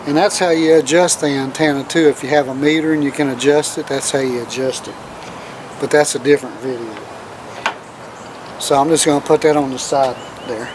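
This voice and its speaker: A man with a somewhat higher-pitched voice hosts a casual how-to demonstration. His talk is plain and folksy, with informal grammar. He is recorded outdoors, with clearly audible ambient and vehicle noise around him. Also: fairly even intonation, a little fast. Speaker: host of a do-it-yourself radio video.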